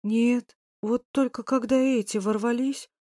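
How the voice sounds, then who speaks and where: A woman's voice: a little high, fairly even intonation, unhurried, warm tone, dry recording, studio quality, close to an everyday voice. A woman narrator voicing a frail, confused and frightened old lady who mutters plaintively. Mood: dreamy, plaintive.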